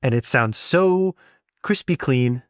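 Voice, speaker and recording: Guy chatting on a podcast, medium pitch, kinda rambly and encouraging, really dry recording. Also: lively intonation, slow, clear, resonant tone, no echo. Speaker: podcast co-host and sound designer. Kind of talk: casual conversational podcast talk.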